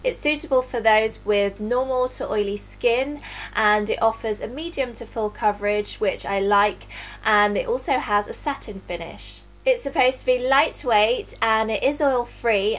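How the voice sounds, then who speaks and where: A woman reviewing makeup in a casual, chatty way, sounding a little nasal from a cold. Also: on the high side. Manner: chatty, talks while applying makeup. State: nasal from recovering flu. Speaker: beauty vlogger. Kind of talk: casual product review and demo.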